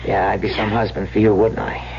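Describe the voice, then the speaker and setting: An actor playing a small-time swindler pleading with his sweetheart in an old radio drama, speaking in halting, repetitive street slang. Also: fairly low pitch, level tone, vocal fry, darkish tone. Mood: bitter confessing. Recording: dull, hissy vintage radio recording with hum.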